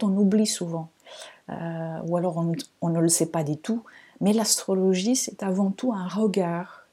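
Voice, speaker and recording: Husky woman with a soft Portuguese accent. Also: fairly low pitch. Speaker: podcast host and astrologer. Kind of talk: reflective personal podcast monologue.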